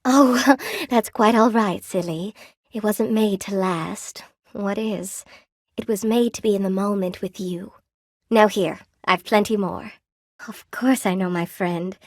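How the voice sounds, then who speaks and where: A dry, intimate recording of a gentle spider-woman who weaves and sells silk in a video game. She sits at a middling pitch and speaks with genteel, old-fashioned courtesy. She is sweetly attentive and eager to please, with a lonely streak. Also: measured pace, dry recording. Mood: soft, reflective. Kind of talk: video game character voice acting.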